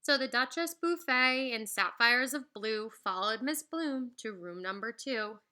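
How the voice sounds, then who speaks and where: Woman, children's read-aloud, slow pace, piercingly bright tone, animated singsong storytelling. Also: fairly high pitch. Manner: animated, singsong rhyming delivery with teacherly asides. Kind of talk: expressive children's picture book read-aloud.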